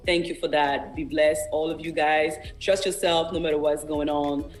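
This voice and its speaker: A man's voice: on the high side, little pitch movement, moderate pace, faint music behind. Young French-speaking man as a radio guest. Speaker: independent singer-songwriter, interview guest. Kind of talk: casual radio interview talk.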